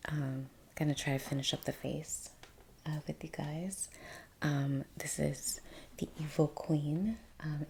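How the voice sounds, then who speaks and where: Hushed, slow-talking woman, soft ASMR whisper. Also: sits low, slight background noise. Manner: hushed, breathy near-whisper. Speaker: painting channel host. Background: faint ambience, brush sounds.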